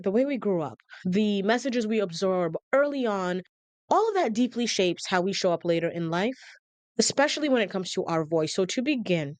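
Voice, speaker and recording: A woman speaks as a podcast host in a polished, articulate way, reading prepared introductions and questions. Her voice sits at a middle pitch, and the sound is close and dry. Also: dry recording. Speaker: podcast host, communication coach. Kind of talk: scripted podcast introduction and interviewing.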